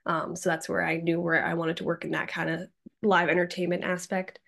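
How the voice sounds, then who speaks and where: A woman's voice: lowish voice, flat delivery, brisk, creaky, fairly bright tone. A young woman from Massachusetts, a guest talking casually in an interview, chatty with many ums and likes. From Massachusetts, Cape Cod. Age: young adult.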